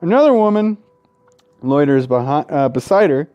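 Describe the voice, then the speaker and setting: A man's voice: fairly high pitch, lively intonation, normal speed, no echo, muffled recording. A man reading a visual novel aloud as a gaming host, tripping over words, correcting himself and dropping casual asides and swearing. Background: faint game music.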